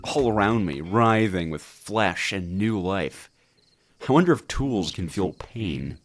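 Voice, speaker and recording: A man hosting a gaming video, narrating from a script with wry, jokey asides and an expressive delivery. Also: low voice, slow pace.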